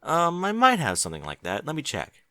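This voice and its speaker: A man's voice: on the high side, huge pitch swings, brisk, bright, forceful tone, dry recording, studio quality, voice pushed up, exaggerated voice. A man voicing a young male dragon who grumbles in a laid-back, slightly surly way. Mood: casual. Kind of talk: audiobook character voice.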